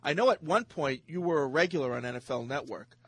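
A man's voice: on the high side, animated, quick pace, fairly bright tone, breathy edge, dry recording. A man co-hosting a sports talk show, pushing his points and often repeating himself. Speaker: co-host. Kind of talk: sports radio banter.

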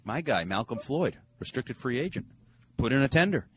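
Male sports-radio co-host, animated pitch, sarcastic. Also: moderate pace, a little hiss or hum. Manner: sarcastic jabs.

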